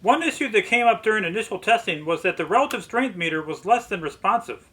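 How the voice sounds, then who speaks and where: This is a man with a notably high, bright voice. He reads scripted tutorial narration as a hobbyist restorer explaining technical work. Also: lively intonation, fast talker, a little hiss or hum. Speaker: narrator, vintage radio restorer.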